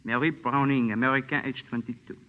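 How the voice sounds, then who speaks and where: An actor playing an officious French police inspector in 1940s radio drama, formal, terse and politely menacing. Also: male voice, mid-range voice, steady tone, a little fast, natural voice. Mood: coldly formal. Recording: muffled old radio broadcast.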